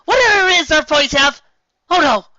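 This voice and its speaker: A reader voicing an excitable, anxious young female unicorn sorceress who argues with herself in a shrill, frantic way, in a fan fiction reading. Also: a little fast, dry recording. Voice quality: shrill, frantic, self-arguing. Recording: dull, muffled home recording.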